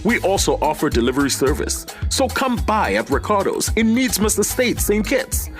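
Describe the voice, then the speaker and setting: Male ad announcer, raised pitch, extremely varied melody, music clearly underneath. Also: very animated, moderate pace. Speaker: advertisement announcer. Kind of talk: scripted hardware store ad read.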